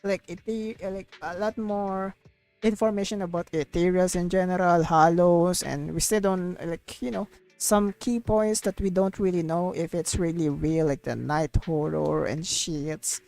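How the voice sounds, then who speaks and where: A woman's voice: on the low side, fairly even intonation, measured pace, creaky, darkish tone. Rambling woman streamer over faint music. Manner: rambling, repeats words, peppered with "like" and "you know". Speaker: VTuber livestreamer. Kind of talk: casual livestream game commentary.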